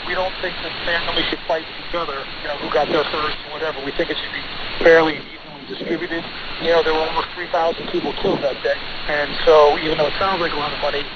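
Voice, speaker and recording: Higher-voiced American man on noisy radio. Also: fast talker. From United States. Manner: assertive, emphatic, insistent. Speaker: lawyer interviewee. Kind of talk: broadcast interview answers, argumentative. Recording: noisy, dull shortwave radio relay.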